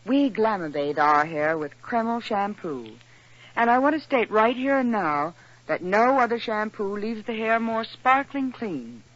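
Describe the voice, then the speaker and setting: An actress playing a glamorous young fashion model, poised and assured, in a 1940s radio commercial. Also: female voice, medium pitch. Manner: poised, assured, scripted endorsement. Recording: muffled 1940s radio broadcast.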